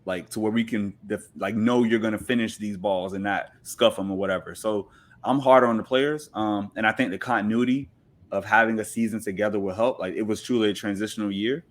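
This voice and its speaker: Low-voiced man giving opinionated sports takes. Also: steady tone, brisk. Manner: emphatic, rhetorical questions, repeats for stress. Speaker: podcast guest, football pundit. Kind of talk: opinionated casual sports talk.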